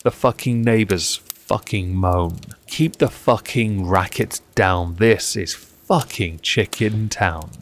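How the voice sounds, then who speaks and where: A man reciting poetry in measured, deliberate short phrases, as the reader on his own channel. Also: fairly low pitch.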